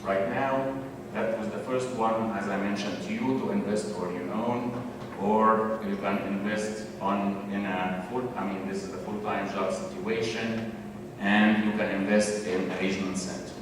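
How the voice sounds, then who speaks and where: A man with an Egyptian accent gives a public presentation, pausing on fillers and restarting his sentences. His voice sits a little low and sounds far from the mic. A long hall reverb and clear background noise surround him. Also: fairly even intonation. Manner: halting, many fillers and restarts.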